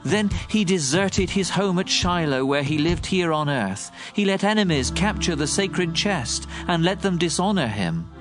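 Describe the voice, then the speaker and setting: Male narrator, fairly high voice, dramatic scripted scripture reading with a clear music bed underneath. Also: animated, measured pace, hardly any pauses.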